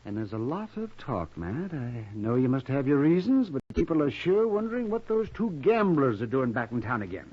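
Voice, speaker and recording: Raspy, excitable old-west town doctor. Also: male voice, mid-range voice, normal speed, hardly any pauses. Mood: chatty, prodding. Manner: animated, swooping, chatty. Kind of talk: 1950s radio western acting. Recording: muffled 1950s radio recording.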